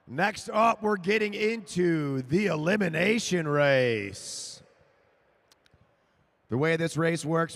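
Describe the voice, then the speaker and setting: A man calling a track cycling race live, rising to shouts as the sprint builds. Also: on the high side. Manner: excited, shouting names over the finish. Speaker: race announcer. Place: velodrome over a PA.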